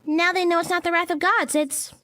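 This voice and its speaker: A woman's voice: extremely high pitch, fairly even intonation, brisk, sharp tone, recorded from a distance, soft background music. A woman voicing an earnest, matter-of-fact young witch in a visual novel game. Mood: thoughtful.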